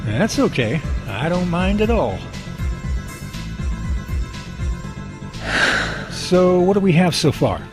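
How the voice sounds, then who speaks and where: A man's voice: high-pitched, big pitch swings, normal speed, music in the background. A man acting a cheerful, devout working husband in an amateur radio drama, with a folksy, good-humored manner. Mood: easygoing.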